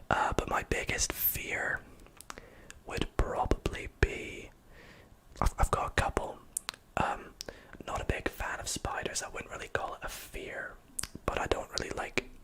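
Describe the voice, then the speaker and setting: Whispering Scottish ASMR streamer. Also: poor audio. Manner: soft whisper, reading chat aloud with many fillers. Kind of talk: whispered livestream Q&A.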